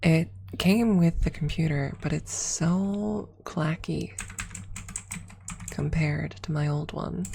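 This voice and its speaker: A woman streamer speaking softly and breathily in an ASMR style, close to the microphone, often slipping toward a whisper. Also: low voice, slow pace, creaky, a little hiss or hum. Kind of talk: ASMR, casual chat and soft story reading.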